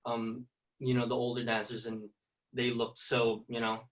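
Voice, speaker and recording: Soft-spoken young Filipino man. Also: mid-range voice, level tone, moderate pace, distant mic, low-quality recording. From Philippines. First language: Tagalog. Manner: soft-spoken, many fillers and "you know". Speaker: ballet dancer, guest. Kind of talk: casual interview.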